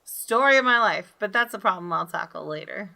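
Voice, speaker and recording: A woman reading a visual novel aloud as expressive, dramatic storytelling for a gaming video. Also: mid-range voice, a little fast, creaky. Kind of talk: expressive scripted narration with brief asides. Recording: game music behind the voice. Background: soft game music.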